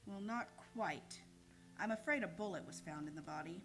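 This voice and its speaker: A woman playing a grave, formal police lieutenant with measured official delivery in an old-time radio play. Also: fairly low pitch, lightly acted. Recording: studio radio play with music behind.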